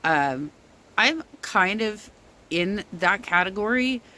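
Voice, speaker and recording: A middle-aged woman with some bass to her voice, speaking slowly in a rambling casual rant full of ums. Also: lively intonation, pushed and bright, a little hiss or hum. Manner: slow-spoken, rambling with many ums.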